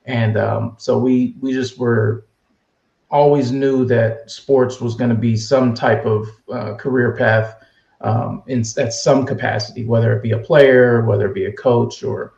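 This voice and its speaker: A man with a gravelly, creaky voice talks through his story in a relaxed, conversational way. His voice has a dull, lo-fi sound, with a roomy echo around it. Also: medium pitch, unhurried. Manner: easygoing, storytelling. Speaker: guest. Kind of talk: casual interview answers. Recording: echoey room, dull lo-fi sound.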